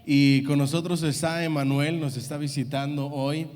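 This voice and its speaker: A man's voice: on the high side, steady tone, moderate pace, darkish tone. A man hosting in church, introducing a guest in an upbeat way and calling for amens and applause. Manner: upbeat, calls for amen and applause. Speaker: church host. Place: church hall. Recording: reverberant church hall.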